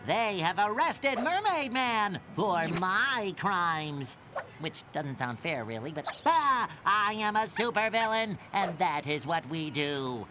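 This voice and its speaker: A man voicing a petty cartoon supervillain in a game cutscene, with a halting, drawn-out delivery. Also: high voice, very animated, music in the background, heavily acted. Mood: musing, villainous.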